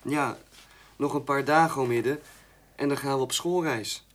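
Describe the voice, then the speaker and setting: A man's voice: mid-range voice, unhurried, a bit bright, close to an everyday voice. A male actor playing a polite, artless schoolboy nephew in a Dutch radio drama. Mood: hesitant.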